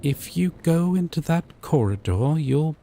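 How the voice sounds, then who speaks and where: A man playing a gentle, possessive yandere boyfriend from a dating sim, speaking tenderly and coaxingly with deliberate pauses in a scripted roleplay. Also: fairly high pitch, animated, vocal fry, slight background noise. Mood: calm, explanatory. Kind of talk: scripted roleplay audio drama.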